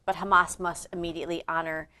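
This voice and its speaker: A woman from the Midwest co-hosting a casual political talk show, chatty and quick to laugh. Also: fairly low pitch, flat delivery, bright, forceful tone, slight background noise. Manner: chatty, laughs often, drifts between topics. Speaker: co-host.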